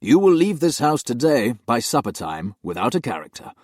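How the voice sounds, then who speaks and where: A man voicing a stern gentleman employer who speaks harshly and curtly. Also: mid-range voice, lively intonation, normal speed, no echo, studio quality, pitched above the performer's own voice, natural voice. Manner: harsh, curt, adamant.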